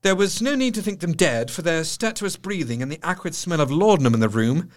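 An actor playing a grave, shaken Victorian doctor, narrating a diary in measured, literary style for an audio drama. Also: male voice, a little high, expressive, natural voice. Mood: grave, horrified. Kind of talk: dramatic scripted first-person narration.

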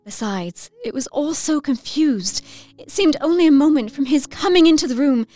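A woman's voice: sits high, expressive, moderate pace, mellow, no echo. An actress playing a romantic, effusive young Victorian lady, girlish and emotional, narrating a letter in an audio drama. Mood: joyful. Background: music.

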